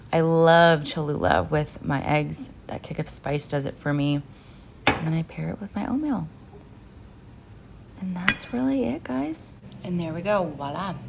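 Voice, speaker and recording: A woman's voice: low voice, noisy background. A woman in her thirties from Finland, a nutritionist chatting casually to viewers as she narrates her day of eating. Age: 30s. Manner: casual, chatty, upbeat, addresses viewers as guys. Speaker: nutritionist and vlogger. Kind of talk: what-I-eat-in-a-day vlog narration.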